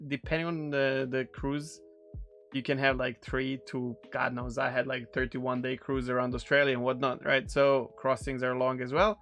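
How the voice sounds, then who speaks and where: A man's voice: medium pitch, a bit bright, clear, resonant tone. A man in his thirties with a Serbian accent, a non-native English speaker from Serbia, casually rambling with friendly ums and restarts. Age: 30s. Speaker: streamer reacting to a video. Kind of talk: casual reaction commentary.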